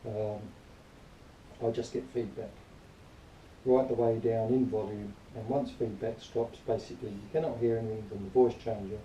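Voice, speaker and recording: A man giving an informal spoken review in a rambling, matter-of-fact, grumbling way, partly reading aloud, recorded quietly on a camera some distance away. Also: lowish voice, fairly even intonation, moderate pace. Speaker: product reviewer. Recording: distant quiet mic, roomy echo, faint noise.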